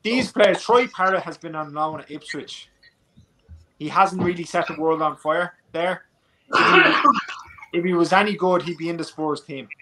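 A man's voice: fairly high pitch, normal speed, pushed and bright, slight background noise, poor audio. An Irish man giving his opinions on football in a casual post-match chat over a video call, passionate and repeating his points for emphasis. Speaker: football pundit.

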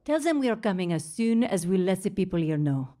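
Actress as plucky missionary wife. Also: female voice, mid-range voice, very animated, brisk, dark tone, close to an everyday voice. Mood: warm, eager. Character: plucky, devoted French missionary wife and mother. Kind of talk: radio drama acting.

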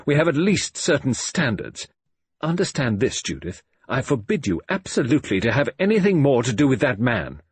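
A man's voice: medium pitch, huge pitch swings, measured pace, few pauses, slightly rough, no echo, pitched above the performer's own voice, full character voice. A man voicing an agitated, stern, disapproving English father in an audiobook, ranting and pleading. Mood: angry, forbidding.

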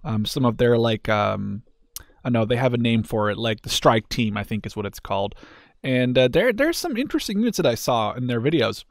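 A man with an average-pitched voice talks through a video game in a relaxed, unscripted style. He is candid and conversational, often thinking aloud. The sound is dry, with no room echo. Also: a little fast. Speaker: gaming video creator. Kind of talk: casual off-the-cuff game commentary. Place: indoors, voice-over on game footage.

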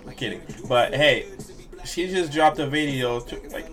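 A man in his twenties giving casual, excitable reaction commentary with slangy, playful exclamations. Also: mid-range voice, unhurried. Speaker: reaction video host. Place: small bedroom indoors. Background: hip hop music playing from the watched video.

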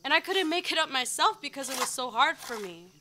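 A young actress playing a heartfelt farmworker daughter recalling hardship in a dramatized monologue. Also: female voice, high-pitched, animated, brisk, noisy background. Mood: pained. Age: young adult. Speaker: actor performing a monologue. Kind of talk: dramatized oral history monologue.

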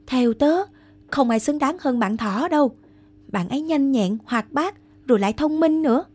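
A woman's voice: high voice, expressive, moderate pace, a little mellow, husky. Woman voicing a chatty young cartoon forest animal. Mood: admiring. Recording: music behind the voice.

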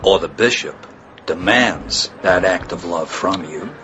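An actor playing a grave, measured bishop in a radio drama. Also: male voice, sits low. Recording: dull radio play recording.

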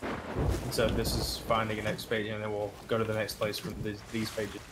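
A man's voice: on the low side, monotone, moderate pace, very noisy. A man talking casually with a friend as they play a video game together. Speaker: gaming co-player. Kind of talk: casual gaming chat.

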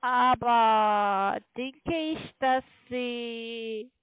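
Woman, high voice, unhurried, halting formal non-native German, call centre agent, thin phone-line sound. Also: fairly even intonation, no echo. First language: not German. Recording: narrow, tinny phone line.

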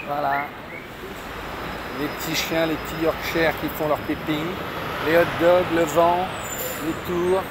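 A middle-aged man hosting a car channel, talking excitedly to a handheld camera as he walks down a city street. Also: a little high, measured pace, long pauses. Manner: excitable, exclaiming. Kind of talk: enthusiastic travel vlog to camera. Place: busy city street outdoors. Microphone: handheld camera on a gimbal. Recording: loud traffic noise.

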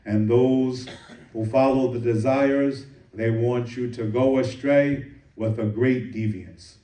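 A man giving a Friday sermon as imam, reciting Arabic verses quickly and translating them into English. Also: medium pitch, mellow. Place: mosque prayer hall. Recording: echoey room, voice from a distance.

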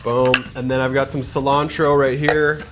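A man's voice: medium pitch, normal speed. Upbeat man hosting a cooking show. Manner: energetic, chatty, addresses viewers as guys. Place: home-style studio kitchen, indoors. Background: background music, sizzling pan.

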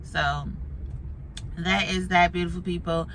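A young woman with a raspy, hoarse voice, a teacher chatting candidly to a phone camera on her dashboard while she drives. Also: lowish voice, steady tone, a little slow. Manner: candid, chatty, swears casually. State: voice going hoarse. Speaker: teacher vlogging. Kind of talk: casual chat. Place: inside a moving car. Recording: loud road noise from driving.

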